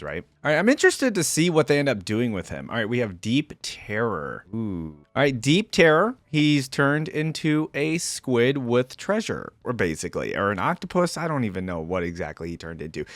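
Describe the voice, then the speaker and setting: A man's voice: mid-range voice, no room sound. A man hosting a reaction video, giving casual, informal and exclamatory commentary.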